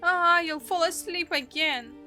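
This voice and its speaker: A woman with a Russian accent voicing a small, pleading, excitable little sister while reading a game aloud. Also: extremely high pitch, a little slow, soft background music, voice pushed up, heavily acted. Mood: sulky.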